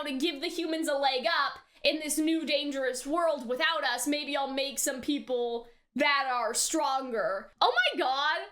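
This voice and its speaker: A woman chatting casually as co-host of a reaction show, with an excitable, rambling and emphatic way of talking. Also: high-pitched. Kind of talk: casual animated discussion.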